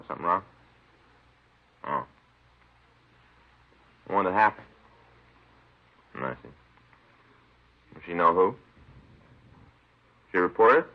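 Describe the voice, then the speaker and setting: An actor playing a sheepish married police detective in a radio drama, folksy and hesitant, rambling as he talks. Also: sits low. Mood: concerned, attentive. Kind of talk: 1950s radio drama acting. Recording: dull old radio recording.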